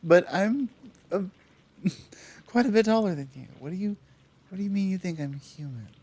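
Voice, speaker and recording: A woman playing a tall, powerful, protective Amazon in an intimate ASMR roleplay, talking softly and teasingly with halting pauses. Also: lowish voice, lively intonation, husky. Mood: amused, incredulous.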